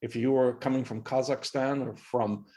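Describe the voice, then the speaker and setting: A man's voice: medium pitch, fairly even intonation, normal speed, warm tone. A middle-aged man from Canada, a professor speaking as a podcast guest, explaining history with earnest emphasis and frequent restarts. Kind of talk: interview answers.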